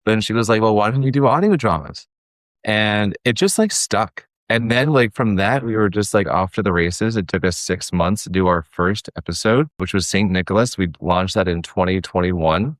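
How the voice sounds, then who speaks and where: Man, deep voice, interview guest, casual storytelling, informal phrasing, quoted dialogue, dry recording. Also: moderate pace, dry recording. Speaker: podcast guest, actor and audio drama producer.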